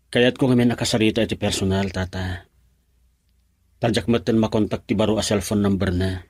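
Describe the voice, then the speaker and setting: A man's voice: fairly low pitch, fairly even intonation, moderate pace, a little mellow, dry recording, studio quality, close to an everyday voice. An actor with a Filipino accent playing an earnest, lovelorn young man who speaks respectfully in a radio drama. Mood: respectful, troubled. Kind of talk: Ilocano radio drama acting.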